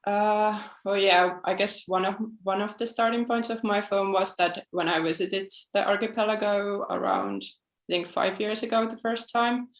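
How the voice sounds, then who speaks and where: Woman filmmaker on a video call, gravelly voice, roomy echo, lots of uhs and likes. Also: medium pitch, expressive, normal speed. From southern Finland. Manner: thoughtful, with frequent fillers and restarts. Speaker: documentary film director. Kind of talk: panel interview answers.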